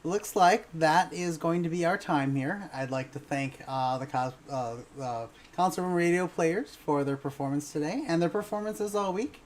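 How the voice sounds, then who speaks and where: Low-voiced woman hosting a fan panel. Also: normal speed, hardly any pauses, darkish tone, slight background noise. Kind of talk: casual livestream panel hosting.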